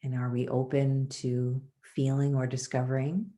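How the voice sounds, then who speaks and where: A woman leading a guided body scan meditation as a teacher, soothing and measured, with pauses between phrases. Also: rumbling low, level tone, dark tone.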